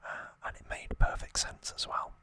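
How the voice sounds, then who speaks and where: A person whispering softly throughout in an unhurried, informal, explanatory ASMR recap style. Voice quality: soft unvoiced whisper. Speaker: ASMR creator and host.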